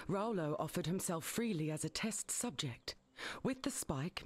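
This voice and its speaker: An actress voicing a wry, learned researcher in a video game, recounting dark history in articulate, dry speech. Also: female voice, on the low side, natural voice. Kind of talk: video game narrative dialogue.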